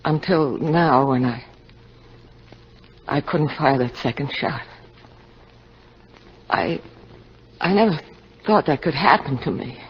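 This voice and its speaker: An actress playing a cold, seductive, scheming murderess with intense melodrama in a 1950s radio drama. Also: female voice, low voice, slow pace, pauses often, husky, exaggerated voice. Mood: pleading, tender. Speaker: actor. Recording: dull old radio recording.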